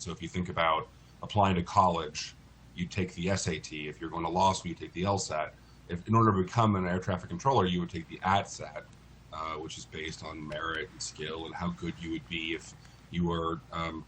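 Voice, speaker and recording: An American man speaking as an interview guest, explaining things in a measured, articulate way. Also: deep voice, a bit bright, a little hiss or hum. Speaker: interview guest, lawyer in a class action.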